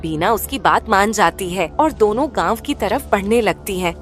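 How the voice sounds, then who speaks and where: A female storyteller delivers scripted narration for a cartoon tale. Her voice sits at an average pitch, with faint music playing behind it. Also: lively intonation, moderate pace.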